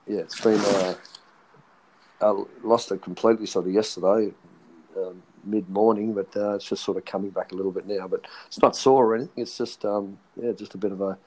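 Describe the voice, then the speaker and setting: Hoarse Australian man, low and hesitant. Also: steady tone, fairly quick, a little mellow, low-quality recording. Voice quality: phlegmy throat. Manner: laid-back, hesitant, lots of fillers. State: hoarse, partly lost voice. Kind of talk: casual chat.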